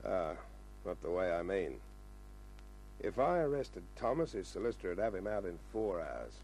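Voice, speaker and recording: An Australian man acting a gruff, world-weary police sergeant, terse and dry, in a 1950s radio crime drama. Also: low voice, very animated, moderate pace, darkish tone, faint music behind. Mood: warning. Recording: noisy old radio recording with hum.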